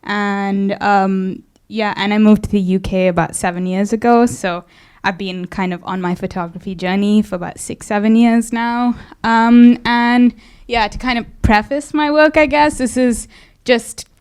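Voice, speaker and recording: Smooth-voiced young woman giving a casual talk. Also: medium pitch, fairly even intonation, unhurried, a little mellow. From India. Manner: hesitant, many fillers, light laughs. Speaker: guest speaker, photographer. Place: event space.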